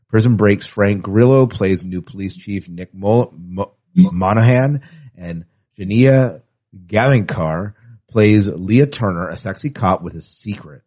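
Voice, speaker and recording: A man's voice: low voice, darkish tone, muffled recording. A man co-hosting a podcast in casual, opinionated chat with wry asides, reading and commenting on news. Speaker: podcast co-host.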